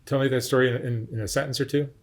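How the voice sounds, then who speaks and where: A man's voice: mid-range voice, fairly even intonation, racing. A man interviewing a guest, asking short, direct questions and steering the story.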